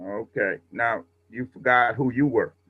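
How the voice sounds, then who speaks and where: A man's voice: medium pitch, a little slow, husky. A man coaching a radio class informally, explaining and correcting himself as he goes, heard through dull remote audio.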